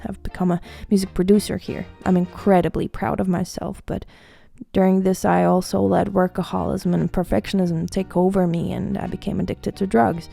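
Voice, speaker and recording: Gravelly-voiced Latvian woman musing softly. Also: fairly low pitch. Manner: reflective, soft-spoken, confessional. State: recovering from illness. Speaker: independent musician and producer. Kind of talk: personal vlog monologue. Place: apartment room mid-packing.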